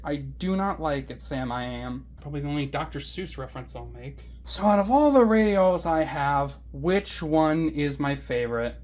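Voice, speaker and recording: A woman giving a casual, rambling hobbyist review in a vlog, with an animated, enthusiastic and playfully grumbling manner. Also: deep voice. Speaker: hobbyist reviewer of weather radios.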